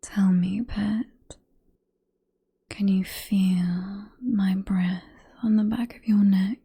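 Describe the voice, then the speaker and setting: A woman performs as a seductive British vampire professor in an ASMR roleplay. She speaks in a very breathy whisper with a dark, mellow tone. Her delivery is slow and coaxing, possessive and hypnotic, with a teasing, condescending fondness. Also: medium pitch, steady tone, full character voice.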